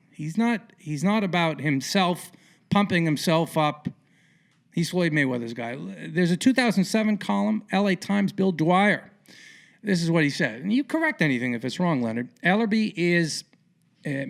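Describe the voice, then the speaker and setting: Gravelly, punchy male podcast host. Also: a little high, fairly bright tone. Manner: colloquial, theatrical, punchy emphatic phrasing. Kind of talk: casual, talky interview.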